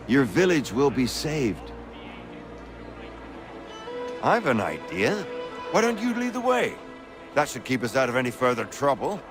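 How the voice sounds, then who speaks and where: An actor playing a confident colonial rebel leader in a video game, with a breezy, genial and urbane manner. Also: male voice, medium pitch, natural voice.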